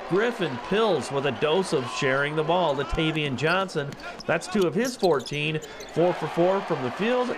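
Guy doing game recap narration, punchy and a bit high, noisy crowd behind him. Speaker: sports highlights narrator. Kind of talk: scripted game recap commentary. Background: crowd and basketball bounces.

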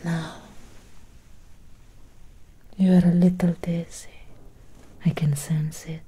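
A young woman acts out a gentle Victorian vampire doctor in an ASMR roleplay. She whispers very breathily and extremely slowly, with long soft pauses. The tone is soothing and hypnotic, dark and relaxed. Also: on the low side, breathy edge, full character voice. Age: young adult. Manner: slow, soothing, lingering pauses. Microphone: close ASMR microphone.

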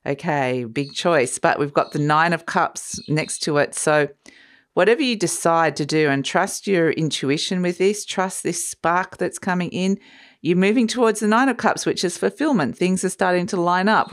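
A woman giving a casual, musing tarot reading, her voice a little croaky from a cold, often trailing off and restarting. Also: low-pitched. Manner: warm, musing, trails off and restarts, light laughs. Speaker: tarot reader. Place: sunny room by a window, indoors.

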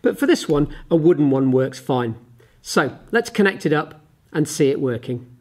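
A man's voice: a little high, sing-song, normal speed, a little mellow. A man in his forties giving an explanatory physics lesson with a live demonstration, methodical and step by step, as a teacher does. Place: indoors, small office at a desk.

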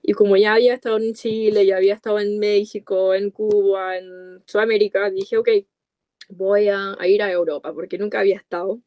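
A woman's voice: medium pitch, flat delivery, a little fast, dark tone, no room sound. A woman in her twenties from the United States with English as her first language speaks in a mid-range voice. She chats casually and colloquially as a podcast guest. The sound is close and dry. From United States, California. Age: 20s. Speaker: podcast guest, content creator. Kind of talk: casual chat in an interview. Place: small dark room with coloured lights, video call.

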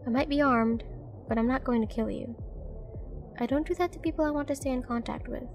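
A woman's voice: high voice, brisk, a little mellow, faint music behind, close to an everyday voice. A woman playing a confident, flirty and dangerous mercenary in a nightclub roleplay audio drama, teasing and commanding as she addresses the listener. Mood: seductive, assured.

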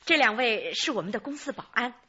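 Female company boss: brisk, bright, very husky, radio drama, slightly dull sound. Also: on the high side, expressive, full character voice. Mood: businesslike. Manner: brisk, official, cooperative. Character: businesslike female general manager of cosmetics company. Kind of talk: Chinese radio drama acting. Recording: muffled band-limited radio drama audio.

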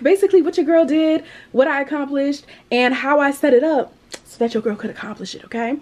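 A woman in her twenties chatting casually to her camera at home, with an animated, emphatic, slangy way of talking. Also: medium pitch. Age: 20s. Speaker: lifestyle vlogger. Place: indoors at home, kitchen and bedroom.

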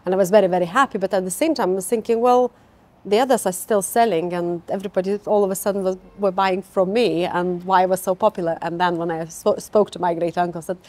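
A woman's voice: mid-range voice, steady tone, fast, a little mellow. A middle-aged woman, a company founder speaking enthusiastically in an interview, often repeating words for emphasis. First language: Lithuanian. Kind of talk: conversational interview. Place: bright open office lounge with large windows.

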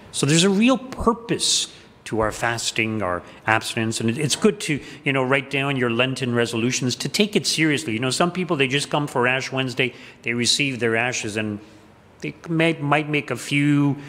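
A man's voice: medium pitch, a bit bright. A middle-aged man, a parish priest, giving a plain conversational homily at Mass from the lectern, with frequent ums. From Canada. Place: church sanctuary, at the lectern. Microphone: lectern microphone. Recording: reverberant church hall.

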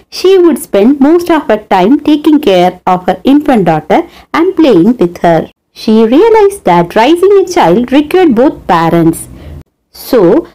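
A woman's voice: high-pitched, dark tone, low-quality recording. A woman hosting her channel, telling a story as a scripted voice-over in a dramatic storyteller's way. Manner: dramatic, swooping storyteller's delivery. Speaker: channel host and narrator.

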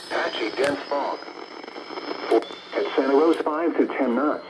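An American man's synthetic, measured announcer voice reading an automated weather forecast, played over a radio. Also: medium pitch. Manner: flat, synthetic, measured delivery. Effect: radio broadcast. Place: played from a portable radio indoors. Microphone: camera or phone near the radio. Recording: noisy radio speaker picked up in room.

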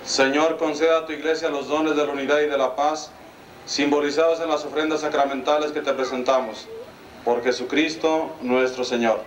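A man with a Mexican Spanish accent, a priest reciting the prayers of the mass in a solemn, measured cadence, recorded by an amateur camcorder among the congregation. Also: fairly high pitch, flat delivery, roomy sound, slight background noise, poor audio. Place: outdoor altar under a canopy.

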